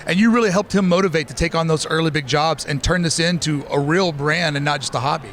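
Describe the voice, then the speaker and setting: A man hosting a casual interview at a convention, asking quick, upbeat questions. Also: on the high side, fairly bright tone, a little hiss or hum. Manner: fast, upbeat, chatty questions. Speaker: podcast host interviewing at a convention.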